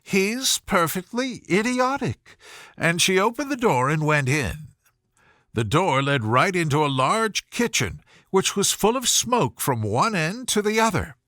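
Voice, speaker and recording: A man reading a scripted children's bedtime story aloud in a measured, expressive storytelling manner. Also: on the high side, no echo, studio quality. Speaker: audiobook reader.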